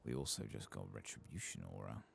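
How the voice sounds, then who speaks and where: A man giving soft-spoken, partly whispered ASMR commentary over a video game, murmuring and thinking aloud in an unhurried way. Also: rumbling low, expressive, creaky, a little hiss or hum. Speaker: ASMR gaming streamer.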